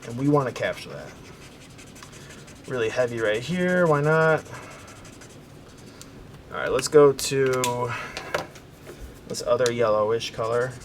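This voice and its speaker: A man hosting a coloring tutorial, talking casually and softly as he works, thinking aloud with fillers and restarts. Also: mid-range voice, takes it slowly, pauses often, slight background noise. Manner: relaxed, thinking aloud while working, with fillers and self-corrections; soft-spoken, hoping to sound calming. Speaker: art tutorial host.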